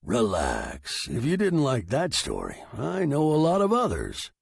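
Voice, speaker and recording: Man voicing a smug, gravelly talking typewriter, slow and scornful. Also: mid-range voice, huge pitch swings, dry recording, studio quality, heavily acted. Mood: smug, impatient. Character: bossy, mocking, smug talking typewriter, male.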